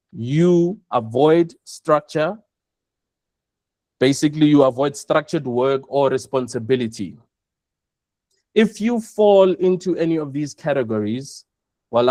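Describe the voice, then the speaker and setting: A South African man presenting an online webinar, speaking in a measured way and repeating his points for emphasis. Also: mid-range voice, darkish tone, dry recording. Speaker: webinar host, operations chief.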